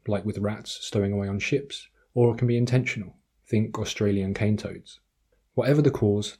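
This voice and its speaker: Bright-voiced, husky male podcast host. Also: fairly low pitch. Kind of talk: scripted podcast introduction and interviewing.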